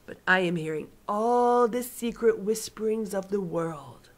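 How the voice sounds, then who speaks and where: A big, kindly male giant from a storybook, put on by a woman reading aloud. He talks loud and earnest in a mid-pitched voice, bending his grammar as he goes. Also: unhurried, natural voice.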